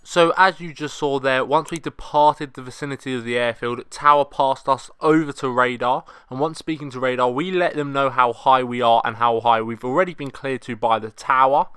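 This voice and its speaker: A young British man narrates as a student pilot explaining his work. His voice is crisp and clean at an ordinary pitch, recorded dry with very little room sound. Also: steady tone, moderate pace, few pauses, fairly bright tone, no room sound.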